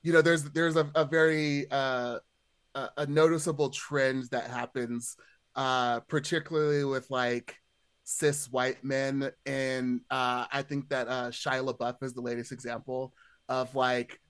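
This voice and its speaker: A man's voice: mid-range voice, flat delivery, measured pace, a bit bright, clean tone, no echo. A man in casual podcast conversation, chatty and hesitant, padding his thoughts with lots of filler words. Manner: chatty, hesitant, many "like" hedges. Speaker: podcast panelist.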